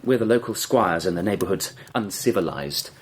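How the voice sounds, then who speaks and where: Young upper-class English student, animated pitch, petulant, clipped, impatient, period radio drama. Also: male voice, normal speed. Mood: exasperated.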